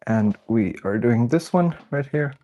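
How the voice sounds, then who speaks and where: A man's voice: medium pitch, animated, a little slow, mellow, dull audio. A young man with the sniffles, hosting a casual livestream while he works and chatting to viewers as they come in. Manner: chatty, greets viewers by name. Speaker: livestream host. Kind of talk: casual live-stream chat while working.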